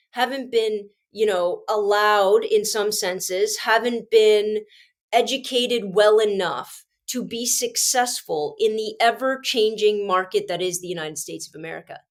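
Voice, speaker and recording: A Canadian woman, a teacher, hosting her own show, sounding congested with a cold and talking with passionate emphasis and frequent restarts. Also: mid-range voice. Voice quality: screechy when agitated. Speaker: podcast host and teacher. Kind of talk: casual solo commentary.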